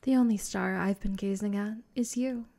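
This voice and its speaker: A woman's voice: mid-range voice, moderate pace, creaky, warm tone, natural voice. A woman playing a haughty, possessive vampire girl in a comedic roleplay audio drama, doting and teasing in her delivery. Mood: doting, smug. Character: smug, possessive, lovestruck vampire girl with a mansion.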